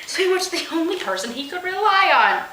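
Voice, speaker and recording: A woman's voice: extremely high pitch, sing-song, fast, reverberant, noisy background. A woman hosting a comic read-aloud of amateur fan fiction, giggly, mock-dramatic and incredulous in her delivery.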